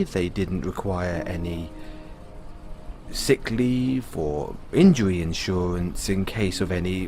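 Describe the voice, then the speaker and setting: A man playing a patient owner talking to his android in an audio roleplay, explaining in a musing way with pauses. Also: fairly low pitch, a little mellow, exaggerated voice. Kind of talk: audio roleplay, conversational storytelling.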